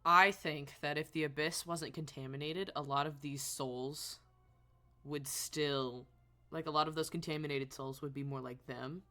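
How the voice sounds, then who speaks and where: A woman with a bright, forward voice comments casually on a live game. She is opinionated and reactive, and swears freely as she goes. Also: low voice, steady tone, unhurried. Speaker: game streamer.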